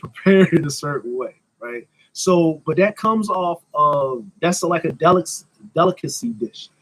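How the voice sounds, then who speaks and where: American guy in his 30s just chatting on a live stream, kinda higher voice, blunt n slangy, cusses, jokes around. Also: rough recording. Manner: blunt, slangy, profane, joking. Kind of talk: casual live Q&A chat.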